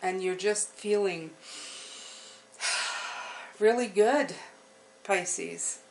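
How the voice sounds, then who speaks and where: A woman giving an unscripted, reassuring tarot reading to her viewers, often pausing to check in with the listener. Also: lowish voice. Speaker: tarot reader hosting a channel.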